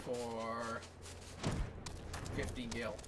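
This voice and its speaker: A man's voice: medium pitch, completely flat delivery, drawn-out words, very noisy. A man livestreaming a game and chatting casually as he plays. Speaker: livestream gamer. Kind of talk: casual gameplay chat. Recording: music playing, distant mic. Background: game music.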